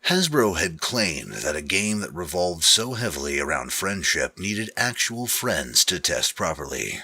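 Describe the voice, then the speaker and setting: A man's voice: low voice, sing-song, measured pace, pushed and bright, breathy edge. A man giving a dramatic, scripted narration of a story. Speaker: narrator of fan fiction readings.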